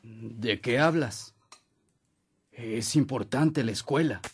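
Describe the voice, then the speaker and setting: An actor playing a stern, practical older father with a Mexican accent and a husky voice, speaking firmly in a radio drama. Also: medium pitch, full character voice. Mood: stern, questioning. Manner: measured, firm.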